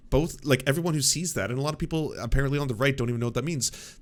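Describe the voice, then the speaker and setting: Male streamer, mid-pitched voice, mocking and rambly, reacts to clips live. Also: a bit bright. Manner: sarcastic, mocking, rambling with fillers. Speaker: streamer and political commentator.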